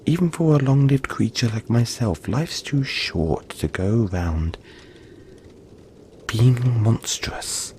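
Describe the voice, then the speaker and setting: A man voicing a gentle, cuddly, long-lived yeti in an intimate audio roleplay, speaking reassuringly with breathy, dramatic pauses. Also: medium pitch, a little hiss or hum. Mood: warm, playful.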